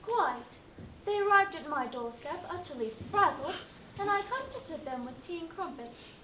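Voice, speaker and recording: A prim and genteel pig from a comic fairytale play, acted by a woman. The high voice is formal and prissy. It sounds in an echoey room with noise behind it. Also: sing-song, moderate pace, fairly bright tone, full character voice. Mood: prim, composed. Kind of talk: comic stage-play acting.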